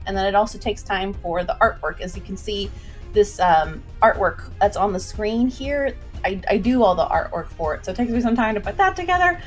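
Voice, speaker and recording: A woman with a fairly high voice hosts a casual story-time livestream. Her delivery is chatty and informal, with folksy turns of phrase. Faint music plays behind her as she talks.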